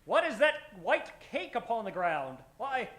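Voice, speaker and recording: A man's voice: very high-pitched, big pitch swings, unhurried, noisy background, full character voice. A man playing a wide-eyed factory worker, full of exaggerated wonder, in a vintage radio advert parody. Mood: amazed, proud.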